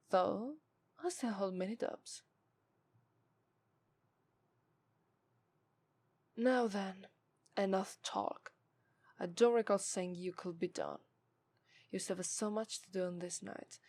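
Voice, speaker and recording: Casting: woman to play a femme fatale demoness, contemptuous and seductive, Italian accent, formal ASMR delivery slipping into whispers, mid pitch, very dry sound. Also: darkish tone, dry recording. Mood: menacing, teasing. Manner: haughty, deliberate, formal, dropping into breathy whispers. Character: haughty, scornful, seductive female demon, summoned and contemptuous. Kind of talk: ASMR roleplay voice acting.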